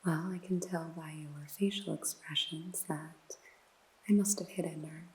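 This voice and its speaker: A woman's voice: deep voice, fairly even intonation, poor audio. A woman playing a sly whispering noir detective. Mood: teasing, probing. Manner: slow, teasing, mostly whispered interrogation. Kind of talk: ASMR noir roleplay.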